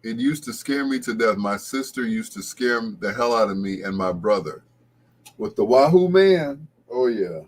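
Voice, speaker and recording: A middle-aged American man with a husky voice hosts casual late-night radio, rambling along like a reminiscing storyteller. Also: medium pitch, animated, moderate pace. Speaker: radio show host.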